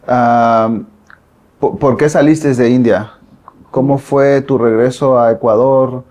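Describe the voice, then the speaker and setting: A man hosting a podcast interview, asking slow, hesitant questions with fillers. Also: mid-range voice, steady tone, a little mellow. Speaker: podcast host.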